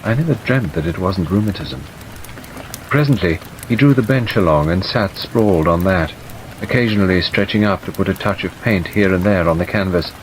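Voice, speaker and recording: Low-voiced male audiobook narrator, rain behind. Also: moderate pace, low-quality recording. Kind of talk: scripted dramatic narration. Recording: steady rain noise over the voice. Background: rain ambience.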